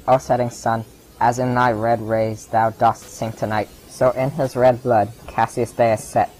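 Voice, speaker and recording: A man's voice: on the low side, slight background noise, close to an everyday voice, low-quality recording. A man playing a loyal, grieving Roman officer in a declamatory radio Shakespeare drama. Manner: declamatory verse delivery. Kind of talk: old-time radio Shakespeare acting.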